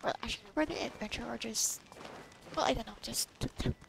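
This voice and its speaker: A woman's voice: a little high, fast, creaky, noisy background. A young woman giving casual gameplay commentary, musing and thinking aloud as she plays. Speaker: game streamer. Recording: game music behind.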